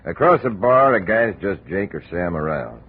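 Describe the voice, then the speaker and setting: An actor playing a streetwise, wisecracking American bartender in a 1950s radio detective drama, slangy and evasive. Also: male voice, deep voice, big pitch swings, normal speed, husky, full character voice. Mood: cagey. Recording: muffled, narrow-band old radio recording.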